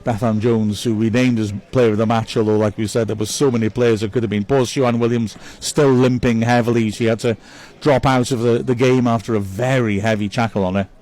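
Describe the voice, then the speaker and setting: A man's voice: medium pitch, fairly even intonation, fairly quick, few pauses, darkish tone. Male club commentator, conversational and upbeat, running through players and reading viewer messages. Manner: chatty, upbeat, praises players one by one.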